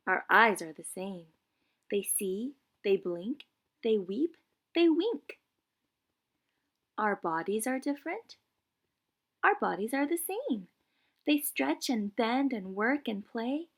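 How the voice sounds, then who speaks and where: Slow, high-pitched woman reading to children. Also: creaky. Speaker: preschool teacher. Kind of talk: children's picture book read-aloud.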